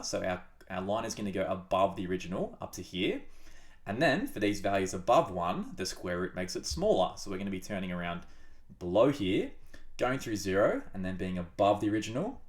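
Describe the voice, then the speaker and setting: Low-voiced, congested maths tutor. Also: male voice. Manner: chatty, upbeat, with playful asides. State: sick, blocked-up nose. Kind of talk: casual whiteboard maths tutorial.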